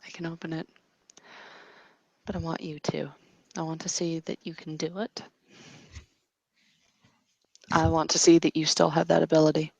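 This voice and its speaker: Woman acting out earnest fey woman, insistent, solemn, lowish creaky voice, tabletop roleplay. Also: level tone, a little fast, pauses often, a little mellow, no room sound, poor audio. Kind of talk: improvised tabletop roleplay, in character.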